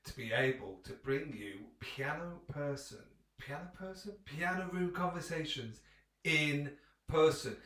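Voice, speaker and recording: A man's voice: fairly high pitch, a bit bright. Informal man hosting in an echoey room. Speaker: podcast host. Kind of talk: casual interview chat. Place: front room of a house, indoors, in person. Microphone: improvised iPhone setup.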